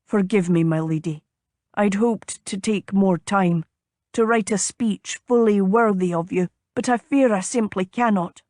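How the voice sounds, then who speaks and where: A woman voicing a courteous, gallant gentleman suitor in an audiobook, measured and formal in manner. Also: mid-range voice, no room sound, clean studio sound, natural voice. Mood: ardent. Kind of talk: audiobook character dialogue.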